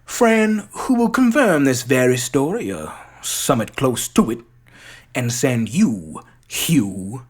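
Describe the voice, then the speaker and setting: A performer reciting as a theatrical, shrewd Southern gentleman detective with a drawling American Southern accent. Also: medium pitch, sing-song, slow, husky, full character voice. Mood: triumphant. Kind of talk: dramatic recitation of a film monologue.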